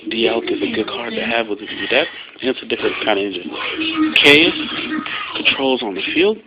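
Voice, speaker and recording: A man casually talking through his card game deck off the cuff, explaining and rephrasing his points as he goes. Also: lowish voice. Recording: muffled lo-fi home recording, loud music behind. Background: loud music.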